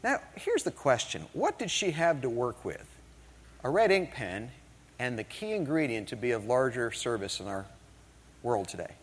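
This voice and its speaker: A man's voice: medium pitch, moderate pace. Man telling funny stories in a hall. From northern United States. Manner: humorous, animated storytelling with jokes. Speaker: motivational speaker. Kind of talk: storytelling keynote talk. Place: large hall before an audience. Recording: hall reverb.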